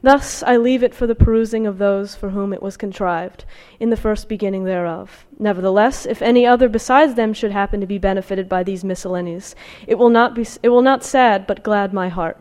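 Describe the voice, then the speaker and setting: A woman giving a scholarly academic talk, presenting research and reading from texts in a careful, somewhat halting way. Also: medium pitch, darkish tone. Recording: long hall reverb.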